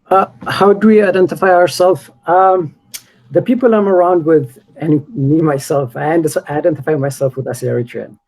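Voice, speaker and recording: A man's voice: a little high, steady tone, normal speed, gravelly, darkish tone, poor audio. A man speaking as a guest on an online panel, hesitant with many filler sounds. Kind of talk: casual panel discussion.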